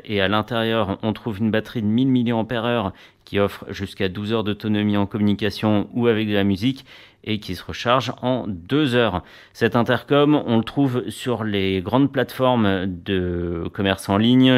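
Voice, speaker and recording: Man, product reviewer, casual hands-on style, chatty, informal, short asides. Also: lowish voice.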